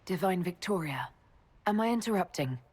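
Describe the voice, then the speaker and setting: An actress playing a tactful, steady leader of a military order in a fantasy game, polite and measured. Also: female voice, lowish voice, husky. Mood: diplomatic. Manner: polite, even-tempered, measured.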